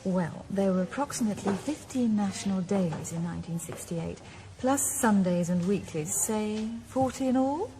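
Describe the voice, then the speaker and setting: A woman playing a brisk, pert librarian. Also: medium pitch, expressive, natural voice. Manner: crisp, matter-of-fact. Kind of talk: 1980s radio drama acting. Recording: noisy location recording.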